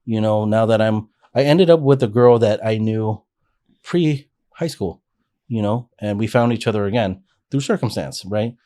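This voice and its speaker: A man in his forties with a fairly low voice talks through his own story on a podcast. He is earnest and rambling, often restarting sentences as he thinks. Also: normal speed, warm tone. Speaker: podcast guest. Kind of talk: casual life-story interview.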